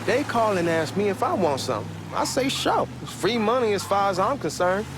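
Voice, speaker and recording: Excitable, swooping young Southern hustler. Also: male voice, high voice, a little fast. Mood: eager, defensive. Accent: American South. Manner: talkative, slangy, cocky. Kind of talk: acted game dialogue, casual banter. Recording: car engine and street noise behind. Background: moving car ambience.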